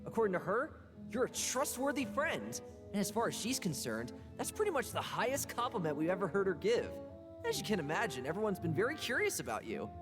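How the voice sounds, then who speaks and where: Wry, swooping older sibling figure. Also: female voice, medium pitch, huge pitch swings, fairly quick. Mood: friendly, admiring. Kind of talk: video game voice acting. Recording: reverberant, faint game music behind.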